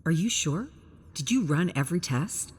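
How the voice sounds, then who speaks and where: A curious, wistful male colonist in a science fiction tale, played by a woman narrator. He speaks informally and insistently, with a husky voice. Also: lowish voice, lively intonation, normal speed. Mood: troubled.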